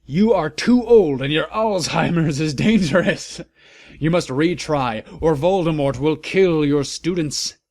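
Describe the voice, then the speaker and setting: A man voicing a pompous, alarmed female official who shouts and declaims in a storybook reading. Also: fairly high pitch, unhurried, voice pushed up. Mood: alarmed anger. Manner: declamatory, emphatic.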